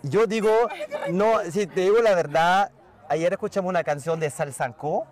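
A man who works as a salsa DJ and grew up in a Chilean exile household, talking casually in an interview and explaining things in a relaxed way with many fillers and tag questions. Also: high voice, moderate pace. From raised in a Chilean exile household. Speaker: salsa DJ and festival organizer.

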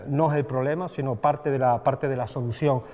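A man's voice: mid-range voice, steady tone, brisk, a little mellow. Hesitant man speaking in a reverberant room. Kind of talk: interview statements.

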